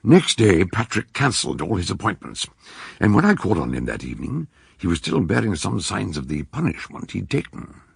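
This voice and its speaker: Well-spoken British doctor, radio drama, very husky, lively intonation, courteous amateur sleuth and storyteller. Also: male voice, low-pitched, brisk, dry recording, exaggerated voice. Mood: measured storytelling. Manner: courteous, formal, expressive. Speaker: narrator and supporting character. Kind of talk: radio drama acting and scripted narration.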